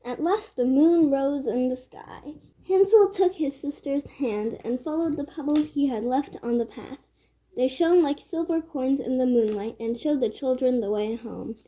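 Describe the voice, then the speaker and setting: A woman storyteller narrating a children's read-aloud tale with measured phrasing. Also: high-pitched, dark tone, a little hiss or hum, poor audio.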